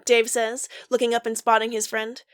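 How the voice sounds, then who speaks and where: A woman with a fairly high voice narrates a story as a podfic reader. The recording is dry and close, with no room sound around her words. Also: completely flat delivery, normal speed, clean tone, dry recording. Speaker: podfic voice actor. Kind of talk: reading fan fiction aloud with acted dialogue.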